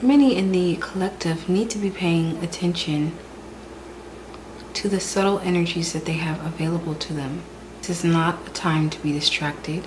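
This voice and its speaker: A woman doing a spiritual tarot reading in a calm, repetitive way, sounding stuffy and hoarse from a cold. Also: on the low side, normal speed, background noise. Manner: calm, repetitive, soft-spoken delivery. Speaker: tarot reader.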